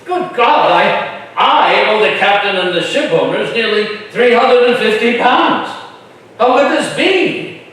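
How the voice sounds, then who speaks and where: A pompous colonial grandee, acted by a man declaims slowly in a high, swooping voice. It is a staged historical reading, picked up at a distance with heavy echo. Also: animated, a bit bright. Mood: aghast. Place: live stage in a reverberant room. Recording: very echoey, distant microphone.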